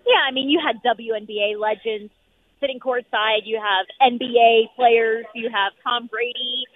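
Hoarse woman on a phone line. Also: fairly high pitch, fairly even intonation, no room sound. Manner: upbeat, rapid, many fillers. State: losing her voice. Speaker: sports reporter guest. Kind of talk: phone-in sports radio chat. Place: noisy arena.

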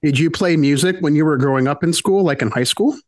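A man's voice: fairly high pitch, brisk, no room sound, clean studio sound. A man hosting an interview podcast, introducing and questioning in an upbeat conversational style. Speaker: podcast host.

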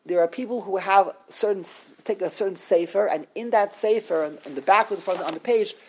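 A woman teaching a Torah class to a small group, lively and animated, switching often into Hebrew terms. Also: medium pitch, brisk, a little hiss or hum, poor audio. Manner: animated, acts out quotes, mixes in Hebrew terms.

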